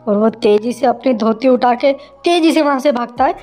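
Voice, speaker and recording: A woman's voice: on the high side, normal speed, a little mellow. A woman narrating a children's moral story in a simple, conversational way.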